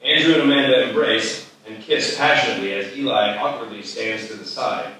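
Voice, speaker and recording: A man's voice: fairly low pitch, fairly quick, bright, forceful tone. A man reading stage directions as scripted narration at a live screenplay table read. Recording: very echoey hall, distant mic.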